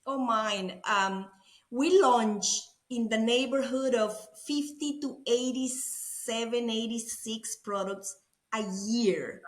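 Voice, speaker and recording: A woman's voice: fairly high pitch, slow, roomy sound. A middle-aged woman from Costa Rica with a Costa Rican Spanish accent, talking effusively as an interview guest. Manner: enthusiastic, effusive, repeats words. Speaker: guest, food innovation executive. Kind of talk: conversational interview.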